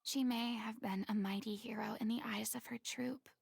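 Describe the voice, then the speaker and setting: A woman's voice: a little high, steady tone, moderate pace, vocal fry, a little hiss or hum. A woman playing an earnest, compassionate young investigator in a video game, speaking thoughtfully. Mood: somber.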